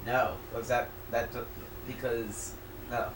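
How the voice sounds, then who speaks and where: A man's voice: mid-range voice, little pitch movement, slow, crowd noise. A young man co-hosting a casual movie commentary, joking and hesitant, often stumbling over his words. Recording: distant mic with clear background noise.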